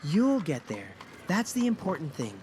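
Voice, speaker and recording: An easygoing young man in a fantasy audio drama, played by a performer, reassures gently with a very animated melody to the voice. He sounds encouraging and kind, over faint outdoor ambience of animals and hooves. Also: mid-range voice, normal speed, a little hiss or hum, close to an everyday voice. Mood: reassuring. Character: Creek, easygoing, encouraging young male friend in fantasy tale.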